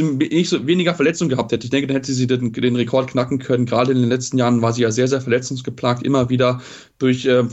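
A man giving casual spoken sports analysis, halting with frequent fillers and restarts. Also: medium pitch, lo-fi sound. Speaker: sports pundit.

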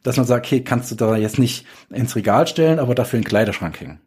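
A man's voice: medium pitch, animated, fast talker, a little mellow, breathy edge. A man chatting casually as a podcast guest, explaining his work as a writer with examples. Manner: explains with examples and acted-out quotes. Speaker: guest, audio drama writer.

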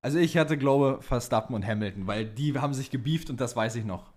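A man's voice: mid-range voice, fairly quick, a little mellow. A young man co-hosting a podcast, chatting casually in youth slang sprinkled with English words. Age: young adult. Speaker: podcast co-host. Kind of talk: casual chat about motorsport, reading out listener questions.

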